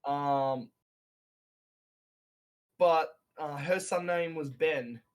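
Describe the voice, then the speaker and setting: A man's voice: fairly high pitch, extremely slow. A man chatting casually and telling stories. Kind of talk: casual chat.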